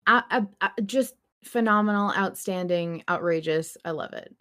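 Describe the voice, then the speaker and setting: A woman with a slightly low voice co-hosts a fan podcast. She talks casually and earnestly, putting real emphasis on her opinions as she goes. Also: moderate pace, fairly bright tone, smooth. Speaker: podcast host. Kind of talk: casual fan discussion of an audio drama.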